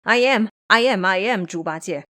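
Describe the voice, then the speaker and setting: A woman's voice: mid-range voice, moderate pace, sharp tone, natural voice. Woman playing a sulky, bumbling male pig monster. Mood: eager. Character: foolish, whining, pouty male pig monster disciple. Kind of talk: audio drama acting.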